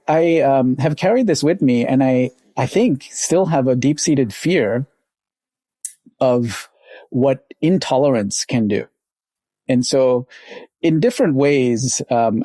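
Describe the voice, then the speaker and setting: A man's voice: medium pitch, mellow, no room sound. A middle-aged man from Sri Lanka, a company founder telling his life story in a reflective, rambling way as an interview guest. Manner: reflective, rambling, with little laughs.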